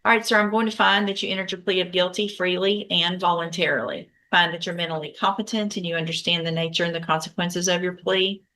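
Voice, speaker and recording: Low-pitched lady judge in a courtroom, calm formal matter-of-fact delivery. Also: quick pace, few pauses. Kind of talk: courtroom proceedings.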